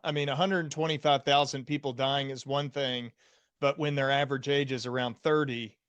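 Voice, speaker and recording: A man's voice: medium pitch, fairly even intonation, moderate pace, fairly bright tone, clean tone, muffled recording. An American man chatting casually as the host of an interview podcast.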